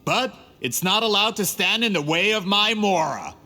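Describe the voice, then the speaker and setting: A smug, scheming merchant. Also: male voice, sits high, animated, moderate pace, pushed and bright. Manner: smug, scornful, calculating. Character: greedy scheming adult male printing merchant. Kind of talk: game cutscene voice acting. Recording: game audio with reverb and music.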